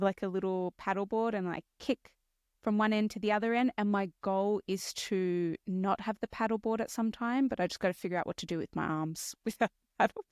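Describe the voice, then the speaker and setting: An Australian woman hosts a podcast in a relaxed, chatty, self-deprecating manner. Her voice sits in a middle pitch, and the recording is close and dry. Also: clear, resonant tone, no echo. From Melbourne, Australia. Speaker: podcast host. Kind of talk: casual podcast conversation.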